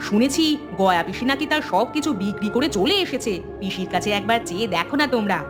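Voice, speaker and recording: A woman's voice: medium pitch, lively intonation, fast, music in the background, natural voice. A woman voicing a blunt, bossy village sister-in-law in a cartoon dub. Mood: scheming. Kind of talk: Bengali cartoon dubbing.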